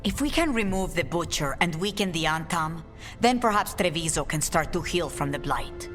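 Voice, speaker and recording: A dignified, hopeful leader from a fantasy game. The delivery is measured and formal, with a long hall reverb. Music is clearly heard behind the voice. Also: male voice, fairly high pitch, animated, fairly bright tone. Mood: earnest, hopeful. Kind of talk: dramatic video game acting.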